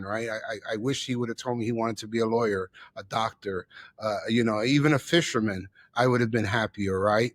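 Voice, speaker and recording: A man's voice: medium pitch, no echo, clean studio sound. A middle-aged man from the Bronx, New York, telling personal stories as an interview guest, emphatically repeating phrases for effect. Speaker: interview guest, chef and theater owner.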